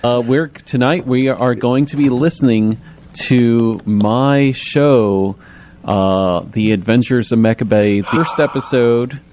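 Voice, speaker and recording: A man chatting casually as a radio guest who makes audio drama, explaining things in a rambling, halting way. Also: medium pitch, a little mellow, a little hiss or hum.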